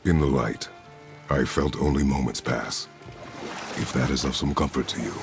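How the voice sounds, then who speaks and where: Very deep, gravelly stern warrior father. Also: fairly even intonation, normal speed. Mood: somber, restrained. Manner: terse, formal, commanding. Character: stern, gruff warrior father, grieving but guarded. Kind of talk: video game cinematic acting. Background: music.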